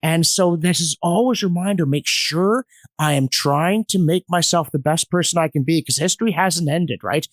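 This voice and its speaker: A man with a fairly high-pitched voice talks in a dry, close recording. He is middle-aged, articulate and emphatic, explaining his opinions like a lecturer in conversation. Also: moderate pace, no echo. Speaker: podcast guest, history commentator. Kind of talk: opinionated conversational discussion with anecdotes.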